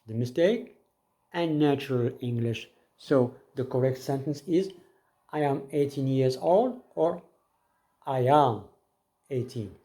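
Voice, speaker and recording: A man teaching an English grammar lesson, reading each example sentence and then repeating it in a slow, deliberate way. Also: medium pitch, dark tone.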